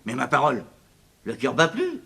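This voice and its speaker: An actor playing a callous, grumbling police chief in a French radio crime serial, dismissive in manner. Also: male voice, mid-range voice, huge pitch swings, moderate pace, creaky, pushed and bright, husky, full character voice. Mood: callous, annoyed.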